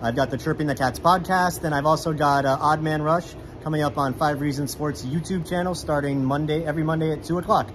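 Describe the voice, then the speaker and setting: A man's voice: on the high side, slight background noise. A man in his thirties, a guest sports reporter giving eager, casual game analysis in a hockey arena. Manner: quick, enthusiastic, many "I mean" fillers. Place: indoor hockey arena beside the rink. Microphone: phone camera at arm's length.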